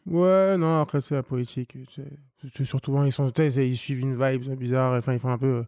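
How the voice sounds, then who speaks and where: A young man chatting as a guest, quick and loose, often laughing and trailing off. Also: mid-range voice, steady tone, a little mellow, dry recording, clean studio sound. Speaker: guest, co-founder of a street art venue. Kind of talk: casual chat.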